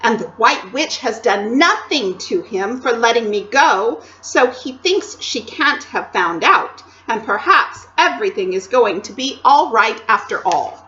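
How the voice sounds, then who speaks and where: A woman reading aloud, voicing an eager, cheerful young girl who talks high and breathlessly. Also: lively intonation, a little slow, hardly any pauses, sharp tone, dull audio, slight background noise. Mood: excited.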